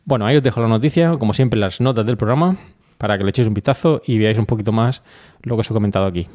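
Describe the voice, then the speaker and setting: Mellow Spanish man hosting a podcast. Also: fairly low pitch, racing. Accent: Spanish from Spain. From Murcia, Spain. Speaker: podcast host. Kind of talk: conversational news commentary on a podcast.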